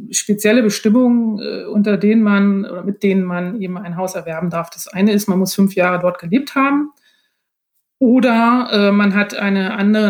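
A woman's voice: medium pitch, a little mellow. A woman speaking as a guest author in a casual podcast conversation, explaining things in a reflective way.

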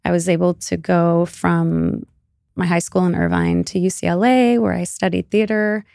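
A woman's voice: lowish voice, animated, normal speed, gravelly, warm tone, no echo, studio quality. A woman from Iran, Persian her first language, chatting candidly as a podcast guest, often laughing and using fillers like "you know" and "like". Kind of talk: casual interview chat.

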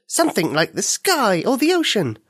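A woman voicing a kind, helpful firefly in a children's story, with a bright, encouraging manner. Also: mid-range voice, wildly swooping pitch, normal speed, breathy edge, pitched above the performer's own voice.

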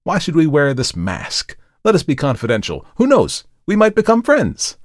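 A man's voice: a little high, wildly swooping pitch, normal speed, no room sound, clean studio sound, pitched above the performer's own voice. A man voicing an agitated, brooding male character in a dramatic storybook reading, speaking with bitter, pleading intensity. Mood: feverish, pleading. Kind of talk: dramatic audiobook character acting.

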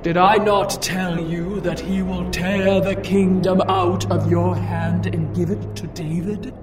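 An actor playing the stern ghost of an old prophet in a dramatized Bible story, solemn and drawn-out. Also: male voice, sits high, level tone. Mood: stern, condemning. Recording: echoing, distant-sounding voice over loud music.

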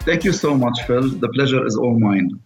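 A middle-aged man with a Lebanese accent giving halting, earnest answers as an interview guest. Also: mid-range voice, expressive, soft background music. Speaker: interview guest, aid director.

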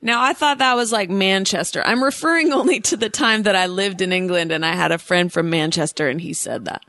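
A woman's voice: mid-range voice, brisk, bright, forceful tone. An American woman in her thirties hosting a show and chatting in a playful, bubbly, goofy way. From United States. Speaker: host. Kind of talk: casual chat.

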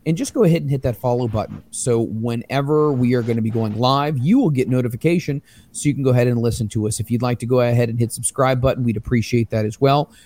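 A man hosting a casual wrestling podcast, loud, excitable and profane. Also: medium pitch, quick pace, hardly any pauses, no echo. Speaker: podcast host.

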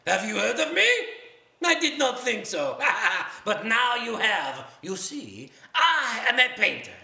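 A vain painter-narrator for a kids' audio musical, gravelly, in a roomy space. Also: medium pitch, very animated, slow pace, pushed and bright, full character voice. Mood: boastful. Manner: grandiose, teasing, addresses listeners. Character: showy male French painter narrator.

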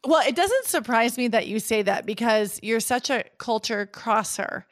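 A fairly high-pitched woman hosts a podcast interview in an upbeat, chatty way. Her voice is close to the mic and dry, with no room sound. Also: animated, moderate pace, no echo. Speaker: podcast host.

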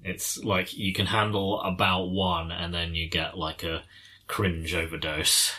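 An English man with a very low voice discusses a film he has watched. He talks in a thoughtful, hesitant way, pausing and restarting as he gathers his thoughts. His tone has a bright, forward quality. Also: level tone. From England. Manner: thoughtful, hesitant, trails off mid-sentence.

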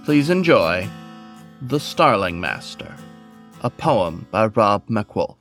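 A man's voice: on the low side, very animated, slow pace. A man reading a scripted podcast introduction as its host. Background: soft guitar music.

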